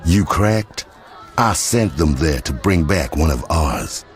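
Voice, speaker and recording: Slow, very deep menacing crime boss. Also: male voice, animated, heavily acted. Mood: cold, sardonic. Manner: slow, mocking, intimidating. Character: menacing, sardonic male crime boss, coolly threatening. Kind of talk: video game voice acting.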